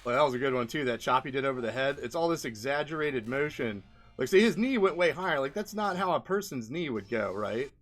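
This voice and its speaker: Man, mid-pitched voice, casual video commentary, rambling, colloquial, unscripted. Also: lively intonation, brisk, a bit bright, soft background music. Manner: rambling, colloquial, frequent "like" and "right?" tags. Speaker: indie game developer, video host. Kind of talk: casual off-the-cuff commentary over video clips.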